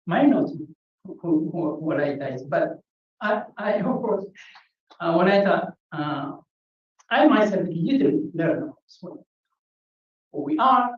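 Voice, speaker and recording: A woman from Ethiopia with an Ethiopian accent, giving an unscripted conference talk in a halting way, full of restarts and fillers. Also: on the low side, animated. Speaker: conference speaker. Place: conference hall. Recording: muffled, echoey, distant from the mic.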